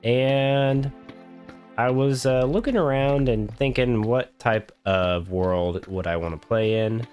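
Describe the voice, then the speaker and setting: A man's voice: mid-range voice, slow, a little mellow, clean tone, soft background music. A man hosting his own gaming video, casually narrating while he builds, his voice congested from a cold. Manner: easygoing, rambling, talks while building. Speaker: gaming channel host. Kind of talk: casual gameplay commentary.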